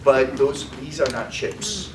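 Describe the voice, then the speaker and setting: Man pitching products in an echoey room. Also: animated, slow. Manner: tags lines with "okay?". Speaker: sales presenter. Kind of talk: live product demo talk. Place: food trade demo room. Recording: echoey room, mic far off, noisy.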